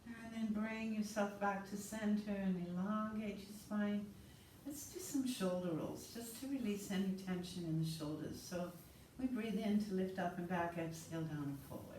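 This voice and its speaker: A woman instructor guiding a yoga class with slow, soothing, calm cues. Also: medium pitch. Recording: roomy, distant mic with faint noise.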